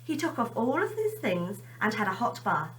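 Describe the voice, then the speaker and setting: A woman teacher speaks to children in an informal, storytelling way. Her voice is bright with lots of rise and fall, over a faint background hum. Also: on the high side, big pitch swings, moderate pace, recorded from a distance, low-quality recording. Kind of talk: informal greeting and expressive children's story reading.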